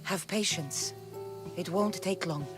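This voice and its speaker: A woman's voice: on the low side, takes it slowly. A woman playing a tough, self-assured young mercenary in a video game, speaking dryly with a Greek accent. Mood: determined. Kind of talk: scripted video game dialogue. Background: background music.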